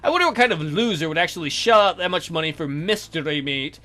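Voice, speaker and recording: A man's voice: on the high side, expressive, quick pace, sharp tone. A man hosting a let's play, reading a visual novel aloud in a theatrical, jokey way with asides.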